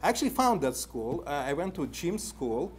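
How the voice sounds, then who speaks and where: A man's voice: fairly high pitch, very animated, moderate pace, slight background noise. A man in his fifties with a Russian accent gives a conference lecture at a podium in an indoor meeting room, talking animatedly with many "uh" fillers and putting questions to the audience, picked up by a room camera from a distance. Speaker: conference speaker.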